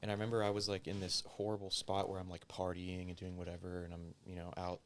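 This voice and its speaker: A man's voice: sits low, completely flat delivery, fast talker, a bit bright, slight background noise. A young man chatting casually as a podcast guest, rambling and profane, with lots of "like" fillers.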